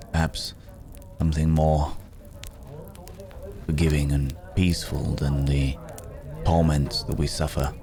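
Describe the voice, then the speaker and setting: A man acts out a werewolf wanderer with an extremely low voice and an unhurried pace. He is gentlemanly and wry, with a brooding streak, and he pauses and murmurs as he muses. The delivery is close, intimate ASMR fantasy roleplay. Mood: brooding, philosophical. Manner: halting, musing, gentlemanly, with hums and murmurs. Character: weary, courteous male werewolf traveler, wry and brooding.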